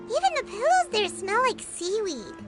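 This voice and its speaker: A woman voicing a tiny, excitable female fairy companion in a video game, with a high, squeaky, childlike voice. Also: normal speed, full character voice. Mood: complaining. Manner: excitable, blunt. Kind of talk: game voice acting. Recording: game audio with music behind.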